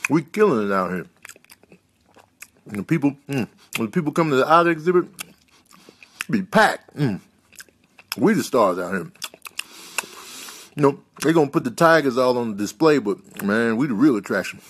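A man voicing a smug, relaxed otter who boasts in slang between little munching hums, in a comic animal voice-over. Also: mid-range voice, moderate pace, pauses often, husky. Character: smug, relaxed otter, zoo's self-proclaimed star.

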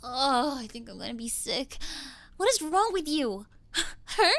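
Casting: female actor as spoiled popular mean girl for audio roleplay, high voice with creaky fry, sarcastic and scornful. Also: sing-song, unhurried, a bit bright, no room sound, exaggerated voice. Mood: outraged, contemptuous. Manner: scornful, sarcastic, laughing derisively. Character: snobby mean popular teenage cheerleader girl, spoiled and catty. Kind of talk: ASMR roleplay acting.

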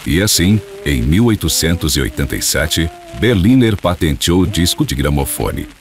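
An extremely deep-voiced man narrates a scripted radio drama. His delivery is formal and dramatic, over prominent music. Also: expressive, normal speed.

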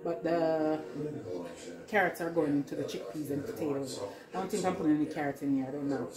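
A woman's voice: low-pitched, fairly even intonation, a little fast, echoey room, a loud crowd. A middle-aged woman vlogging casually from her home kitchen, chatty and rambling as she talks to her viewers while she cooks. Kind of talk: casual cooking vlog. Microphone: camera on the counter.

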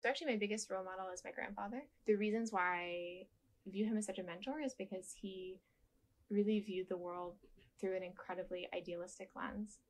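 A young woman with a soft voice giving gentle, thoughtful interview answers with frequent ums and restarts, seated in a dark studio. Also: medium pitch, steady tone, brisk.

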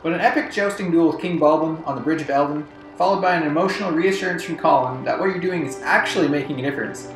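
Guy doing scripted video commentary, voice a bit high, echoey room w music behind. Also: fast, hardly any pauses. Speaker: video narrator. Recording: roomy echo, music bed. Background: background music.

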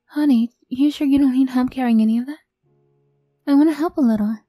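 A woman's voice: high voice, a little fast, warm tone, dry recording, soft background music, voice pushed up. A woman playing an affectionate young girlfriend, a sweet but tough-edged former bully, in an ASMR roleplay. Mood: affectionate, bubbly.

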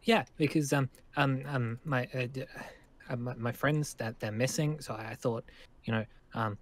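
A man playing a nervous, friendly young survivor in an improvised game roleplay, speaking in halting, rambling stammers. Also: medium pitch, fairly even intonation, close to an everyday voice. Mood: nervous, hesitant. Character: nervous, friendly young male zombie-apocalypse survivor living at a school.

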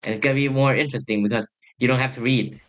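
Bright-voiced young man rambling over gameplay. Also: medium pitch, steady tone, very fast, rough recording. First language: Thai. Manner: rambling, hesitant, trails off with "I guess". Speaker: youtuber. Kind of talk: casual gameplay commentary.

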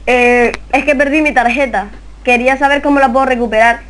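A woman with a highish voice talks casually on a phone call. She hesitates and stalls often, with faint noise and keyboard clicks in the background. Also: fairly even intonation, fairly quick, a bit bright. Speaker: prank caller.

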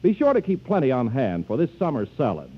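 A man's voice: mid-range voice, wildly swooping pitch, brisk, slightly rough. A man working as a radio announcer, reading a scripted 1940s commercial on an old muffled radio recording.

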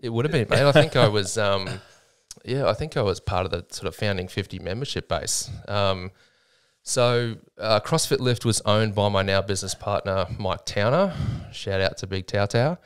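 A chatty Australian man in his thirties, recorded close on a studio microphone in a small podcast studio. His voice sits fairly low and comes through clear and smooth, and he laughs often and leans on fillers as he tells the story. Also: moderate pace. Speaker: gym co-owner, guest. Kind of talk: casual storytelling interview. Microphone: studio mic with headphones.

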